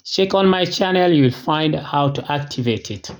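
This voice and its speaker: An unboxing host giving a casual product walkthrough in short, simple, clipped phrases, at a medium pitch. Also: animated, moderate pace. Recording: noisy, with clicks and crinkling packaging.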